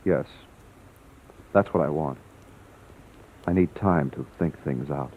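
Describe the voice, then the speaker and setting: A man's voice: extremely low pitch, takes it slowly. An actor playing an earnest, troubled man on the run in a vintage radio drama. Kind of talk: 1940s-50s radio drama acting. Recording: tinny, noisy old radio recording.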